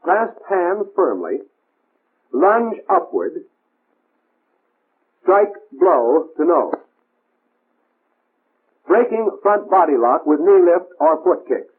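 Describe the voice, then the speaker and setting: High-pitched 1940s American training film narrator, man, tinny. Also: big pitch swings, takes it slowly, pauses often, husky. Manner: clipped, formal, instructive delivery. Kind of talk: 1940s military instructional narration. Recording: tinny, band-limited 1940s film soundtrack.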